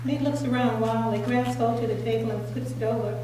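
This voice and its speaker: A woman's voice: a little high, fairly even intonation, fairly quick, warm tone. A woman reading scripted stage directions as narrator at a staged play reading. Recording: echoey room, distant mic, noisy.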